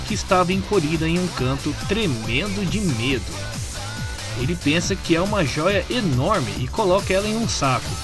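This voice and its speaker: Brazilian male narrator, fairly high voice, informal comic storytelling, clear background music. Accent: Brazilian Portuguese. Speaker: narrator of a fan comic dub. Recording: music behind the voice.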